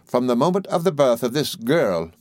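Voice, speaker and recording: A man's voice: medium pitch, sing-song, normal speed, a little mellow, no echo, voice pushed up, lightly acted. A man voicing a domineering, brusque older English aunt in an audiobook, with emphatic, commanding delivery. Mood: insistent. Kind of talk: audiobook character voice.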